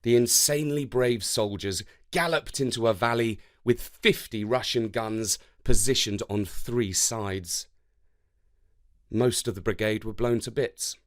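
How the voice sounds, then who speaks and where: A man narrating scripted history and verse for children in a measured, dramatic way. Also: mid-range voice. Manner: measured, expressive, dramatic delivery. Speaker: storyteller narrator.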